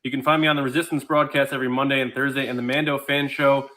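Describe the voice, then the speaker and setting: A man who hosts his own podcast, delivering a short prepared prediction. He speaks in an upbeat, promotional way at an ordinary pitch. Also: little pitch movement, fast talker, pushed and bright. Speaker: podcast host guest. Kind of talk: prepared prediction with show plugs.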